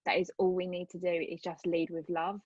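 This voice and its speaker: A woman's voice: lowish voice, flat delivery, brisk, a little mellow, no echo, highs cut off. A woman, a coach, talking earnestly and rambling a little as an interview guest over a video call. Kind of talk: casual interview chat.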